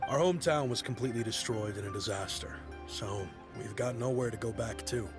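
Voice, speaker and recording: An actor playing a proud yet kindly merchant in a video game, speaking in a friendly, reflective, softly rueful way. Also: male voice, lowish voice, fairly quick, natural voice. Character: nostalgic grown-up male merchant, proud but kindly. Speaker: businessman. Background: game music.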